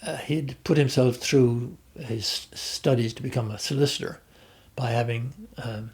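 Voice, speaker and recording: An older man with an Irish accent, talking about his life at an unhurried pace, often pausing to restart his sentences. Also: medium pitch, steady tone, darkish tone. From Ireland. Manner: hesitant, with many restarts and fillers. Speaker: interviewee. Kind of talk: reflective conversational storytelling.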